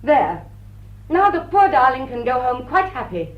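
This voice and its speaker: A cool, flirtatious society lady thief in a period radio drama. Her voice sits high and swoops with lively intonation. The delivery is arch and poised with dry wit, and the audio is slightly dull. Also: a little slow, exaggerated voice. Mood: amused, flirtatious. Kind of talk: classic stage comedy radio drama acting.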